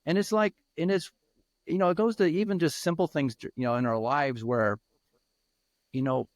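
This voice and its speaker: A man over fifty answering interview questions as a peer mentor, speaking earnestly and haltingly with many restarts. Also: fairly high pitch, fairly even intonation, no echo, clean studio sound.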